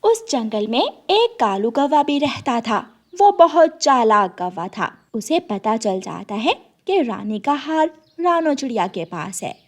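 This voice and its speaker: A woman's voice: fairly high pitch, very animated, unhurried, hardly any pauses. A woman narrator reading a scripted children's story.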